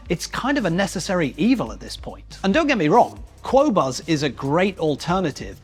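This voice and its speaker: A man's voice: a little high, husky. A British man hosting a casual tech review vlog, chatty and self-deprecating, with jokey, slangy asides. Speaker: YouTube video host.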